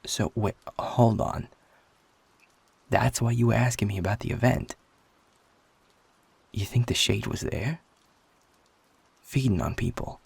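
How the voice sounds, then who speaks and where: A feisty, cocky male werewolf played by a man in an intimate audio roleplay. His voice is low and very husky, thick with gravel. He talks bluntly and informally, with a teasing, flirtatious edge. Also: measured pace, long pauses, no room sound, heavily acted. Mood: stunned, curious.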